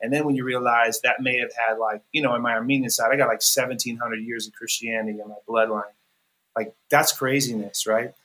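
A man's voice: medium pitch, fairly even intonation, fairly quick, sharp tone, rough recording. Earnest rambling American man. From California. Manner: rambling, earnest, many fillers and restarts. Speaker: musician guest. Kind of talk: podcast interview.

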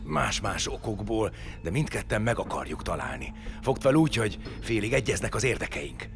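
An actor playing an arrogant, contemptuous outsider held captive, speaking with scornful superiority in an audio drama. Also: male voice, fairly low pitch, sing-song, gravelly, music in the background. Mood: bargaining, wry.

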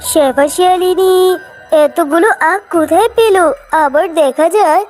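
A woman's voice: extremely high pitch, normal speed, darkish tone. A woman voicing a jealous male tiger shopkeeper, indignant and scheming, in a cartoon story. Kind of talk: children's cartoon story dialogue. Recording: music behind the voice.

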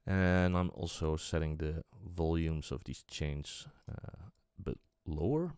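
A man presenting a casual music software tutorial, explaining step by step in an unscripted, halting way. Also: rumbling low, smooth, dry recording, clean studio sound.

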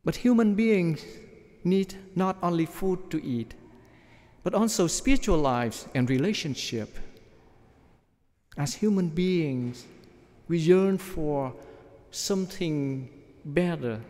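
A middle-aged man, a priest, preaching a measured sermon from notes at a church lectern microphone. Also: a little high, darkish tone. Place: large church, at the ambo. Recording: long church reverb.

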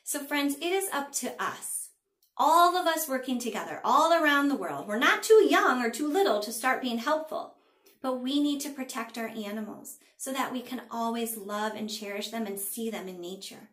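A middle-aged woman, a teacher, doing an expressive read-aloud for young children in a small room. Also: fairly high pitch, normal speed, echoey room. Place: small indoor room at a table.

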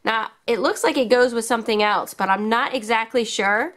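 A woman's voice: a little high, moderate pace, a bit bright. A woman hosting a casual toy showcase for children, speaking enthusiastically in simple phrases. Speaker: children's toy channel host.

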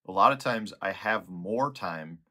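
A man's voice: deep voice, lively intonation, a little slow, sharp tone. A man in his forties with a Midwestern American accent gives informal, conversational instruction to camera as a fishing guide. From Chicago area, United States. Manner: explanatory, conversational. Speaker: fishing guide and video host. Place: small indoor tackle room.

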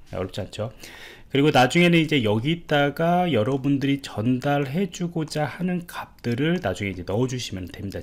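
Lively-voiced male coding instructor. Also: mid-range voice. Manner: relaxed explaining with many fillers and rhetorical questions. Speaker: programming instructor. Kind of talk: screen-recorded coding tutorial.

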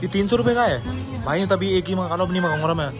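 A young man with a slightly high voice jokes and grumbles his way through a cafe review, holding his phone out at arm's length. His talk is brash and full of mocking slang. Loud music and a chattering crowd fill the room behind him. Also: expressive, quick pace. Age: young adult. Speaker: vlogger. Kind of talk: casual cafe review vlog. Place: busy cafe indoors.

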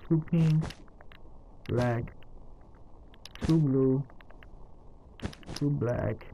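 A man narrating a casual step-by-step game tutorial in a halting, instructional way, counting out items as he goes. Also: medium pitch, gravelly, mellow, dull audio, a little hiss or hum. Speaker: tutorial host.

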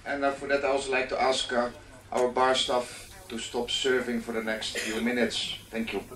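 A man's voice: mid-range voice, flat delivery, moderate pace, a bit bright, slight background noise. Man giving a ship safety talk. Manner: formal but joking, with hesitations. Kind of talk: public announcement to passengers. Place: large indoor dining lounge on a ship. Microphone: handheld microphone, heard from across the room. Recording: roomy, distant camcorder capture.

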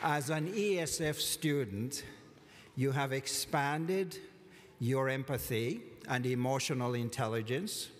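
A man giving a formal commencement address from a lectern, measured and deliberate with touches of dry humor. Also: medium pitch. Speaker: college commencement speaker. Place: large hall at a lectern, indoors. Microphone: lectern microphone. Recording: long hall reverb.

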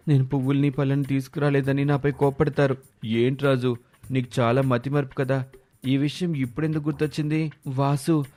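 A man's voice: medium pitch, dark tone, natural voice. A man playing an earnest, loyal young village lad in a dramatised moral story, explaining himself sincerely.

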